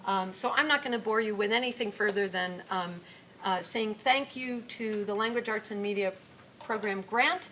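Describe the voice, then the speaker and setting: A woman hosting and opening a symposium, speaking haltingly with many ums. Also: mid-range voice, bright, forceful tone, slight background noise. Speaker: program director, event host. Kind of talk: opening remarks. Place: lecture room.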